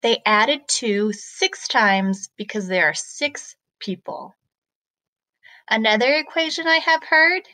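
A woman, a math teacher, explaining step by step to children in a deliberate, questioning way. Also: mid-range voice, pushed and bright, poor audio.

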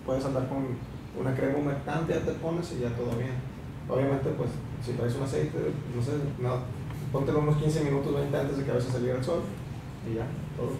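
A man's voice: mid-range voice, steady tone, fairly quick, creaky. A young man with a Sinaloa Mexican accent, a barber chatting on a podcast and explaining at length with many fillers, recorded by a far camera in a small room. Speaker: barber guest. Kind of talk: casual podcast chat. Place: small room with a mirror, indoors. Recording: echoey room, mic far off, background hiss.

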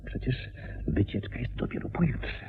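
A man acting a puzzled, excitable detective's sidekick in a radio crime drama, with a dramatic, questioning delivery. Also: moderate pace, in a whisper, phone-quality sound, natural voice. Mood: baffled, exasperated. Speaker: actor.

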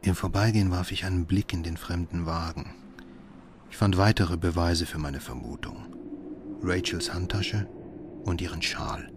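A man playing a grim, haunted husband and father, narrating an audio horror drama in measured phrases. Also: sits low, steady tone, background noise, lightly acted. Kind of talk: scripted first-person horror narration.

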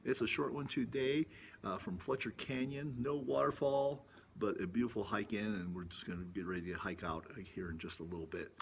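A man's voice: on the low side, a little fast, creaky, a little hiss or hum. An older man hosting a casual vlog, rambling in a folksy, self-amused way while filming himself on a phone outdoors in a shaded rocky canyon. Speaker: vlog host. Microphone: phone camera at arm's length.

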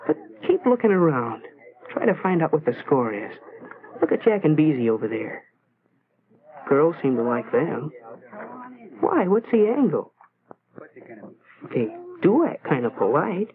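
Brooding lonely schoolboy, tinny old film. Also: male voice, mid-range voice. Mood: self-reproachful. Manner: brooding, self-questioning. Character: lonely, self-doubting teenage schoolboy musing to himself. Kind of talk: 1940s educational film inner-monologue narration. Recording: tinny, noisy 1940s film soundtrack.

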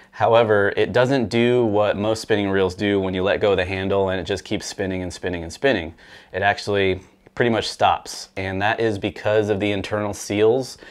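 A man in his thirties talks through a fishing reel in an easy, chatty way, dotted with fillers. His voice sits a little low. He is seated in a small room, speaking to the camera. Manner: relaxed, conversational, frequent fillers. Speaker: fishing gear reviewer. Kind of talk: casual product review to camera. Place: small indoor room with rod racks.

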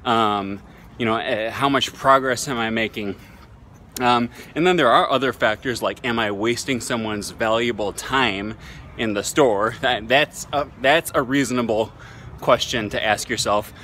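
A man in his thirties telling a story in a casual selfie vlog outdoors, relaxed and chatty with lots of ums, filmed on a phone held at arm's length. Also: mid-range voice, unhurried. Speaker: language-learning vlogger. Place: busy city streets and square outdoors. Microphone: phone camera held at arm's length. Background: faint street and traffic noise.

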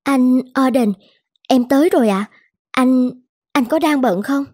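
A woman's voice: high-pitched, unhurried, mellow. A woman voicing a dissatisfied, nagging young wife in a cartoon drama, with a reproachful way of talking. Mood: polite. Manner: complaining, reproachful.

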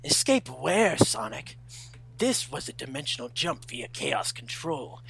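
Brooding cartoon hedgehog rival, played by a man: condescending, rasping and breathy, bright edge, curt. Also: mid-range voice, big pitch swings, a little slow, voice pushed up, full character voice. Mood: irritated. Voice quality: husky, breathy.

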